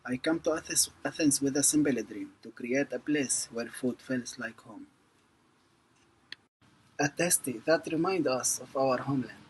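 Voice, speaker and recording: A man from Syria, reading his business pitch carefully in broken English. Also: unhurried, slight background noise, rough recording. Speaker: entrepreneur pitching. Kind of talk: pitch read from a script.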